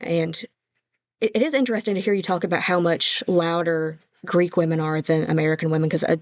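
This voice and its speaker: A woman's voice: on the low side, fairly even intonation, brisk, darkish tone, dry recording. A young adult woman hosts a casual conversation in a friendly, reflective tone, thinking her ideas through as she speaks. Her voice sounds close and dry. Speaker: podcast host.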